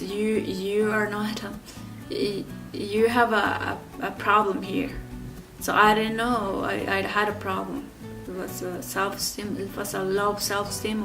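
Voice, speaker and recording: A woman in her thirties from Ecuador telling her own story in an interview, speaking haltingly with many fillers and restarts. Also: mid-range voice, gravelly, distant mic. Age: 30s. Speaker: interview guest.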